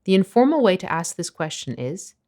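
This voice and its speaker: A woman narrating a scripted language lesson, explaining phrases clearly and at a measured pace. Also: fairly low pitch, big pitch swings, warm tone. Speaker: language teacher.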